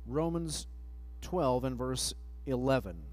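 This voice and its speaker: A middle-aged man preaching a sermon at a church pulpit mic, reading scripture slowly and letting phrase ends trail off. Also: mid-range voice, expressive, a little mellow. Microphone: pulpit microphone, far camera.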